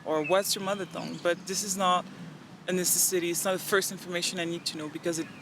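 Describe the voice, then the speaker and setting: A woman's voice: on the low side, fast, noisy background. A young woman from Central African Republic, a native French speaker with a French accent, talking earnestly in a casual interview and acting out bits of dialogue. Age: young adult. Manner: earnest, animated, acts out quoted dialogue. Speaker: interview guest.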